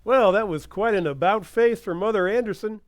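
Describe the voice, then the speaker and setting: A man playing an earnest, persuasive pitchman in an old-time radio commercial, with emphatic salesman's patter. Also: moderate pace, dry recording, close to an everyday voice. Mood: earnest selling.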